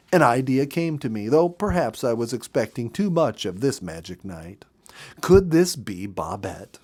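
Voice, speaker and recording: A man's voice: medium pitch, darkish tone. Man narrating a storybook expressively. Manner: measured, dramatic storytelling. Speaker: audiobook narrator.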